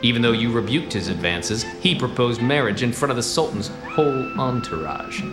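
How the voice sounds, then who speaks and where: An actor playing a cocky, bossy 1920s private detective in a noir radio comedy, with clipped wisecracking delivery. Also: male voice, medium pitch, huge pitch swings, normal speed, music in the background. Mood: dramatic accusing.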